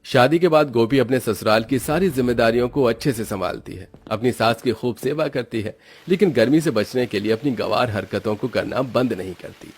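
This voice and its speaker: A man's voice: medium pitch, a little fast, husky. A man giving scripted narration as the storyteller of a moral tale.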